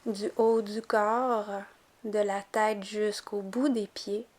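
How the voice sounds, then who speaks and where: A woman leads a guided relaxation as a yoga nidra teacher. She speaks very slowly in a mid-pitched voice, with gentle, calm phrasing that leaves room between instructions. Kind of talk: guided relaxation meditation narration.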